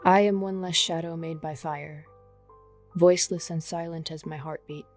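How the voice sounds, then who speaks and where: A hungry, patient vampire voiced by a woman speaks in a slightly low register. The poem is delivered with solemn, measured melancholy while music plays behind it. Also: fairly even intonation, lightly acted. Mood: wistful hunger. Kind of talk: dramatic poetry reading over music.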